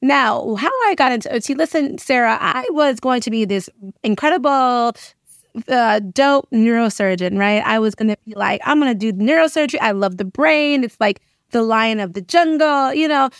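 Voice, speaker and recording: Female interview guest, middle-aged, higher-pitched, emphatic casual storyteller, dry recording. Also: moderate pace, no echo. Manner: expressive, emphatic, often checking in with "right?". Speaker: interview guest, occupational therapist and educator.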